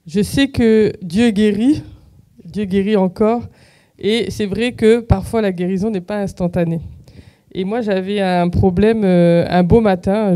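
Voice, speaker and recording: A woman's voice: mid-range voice, warm tone. A woman giving an earnest, chatty testimony during a church service. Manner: earnest, conversational storytelling. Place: church hall.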